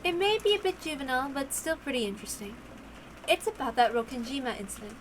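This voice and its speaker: A woman's voice: sits high, fast talker, slight background noise, lightly acted, low-quality recording. A woman voicing an absorbed, chatty woman who enjoys explaining mysteries. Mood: absorbed, intrigued.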